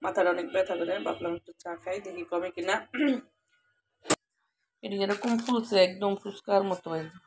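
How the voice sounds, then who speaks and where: Woman, Bangladeshi accent, gravelly, slightly low pitch, casual cooking vlog chat, colloquial, faint noise and clicks at home. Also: steady tone, normal speed, pauses often, a little mellow. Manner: colloquial, chatty, addressing viewers directly. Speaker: home vlogger and mother. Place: at home, partly in the kitchen while frying. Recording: noisy home recording with clicks.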